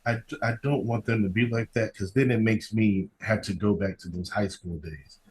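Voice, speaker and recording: A man's voice: lowish voice, moderate pace, husky. A man hosting a podcast in casual conversation, emphatic and given to repeating his phrases, with some background noise behind him. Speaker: podcast host. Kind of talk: casual interview chat.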